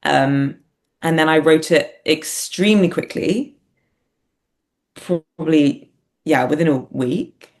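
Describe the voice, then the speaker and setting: A woman's voice: deep voice, slow pace, pauses often. A woman talking casually and reflectively as an interview guest, hesitant and self-deprecating as she thinks aloud. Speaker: podcast guest, writer and performer.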